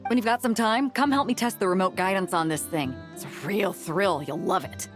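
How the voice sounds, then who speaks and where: Actress as hearty young woman sea captain, game role, mid-pitched, cocky ease, music underneath. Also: fairly quick, lightly acted. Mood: hearty, eager. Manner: breezy, confident, colloquial. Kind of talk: video game character voice acting. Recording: music playing behind.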